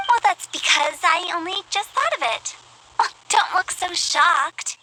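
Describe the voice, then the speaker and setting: A woman's voice: very high voice, animated, a little slow, background noise. A woman voicing a mischievous, theatrical young female funeral director in a video game, with playful, cheerfully morbid sales patter and game music behind her. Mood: teasing, scheming. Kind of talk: video game character acting.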